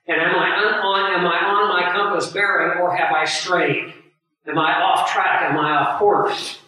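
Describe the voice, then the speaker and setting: Male preacher, hoarse and scratchy, high and bright, earnest and emphatic, heard from a distance. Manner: earnest, emphatic, unhurried teaching with pauses. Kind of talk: sermon teaching with live audience. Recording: very echoey room, voice far from mic.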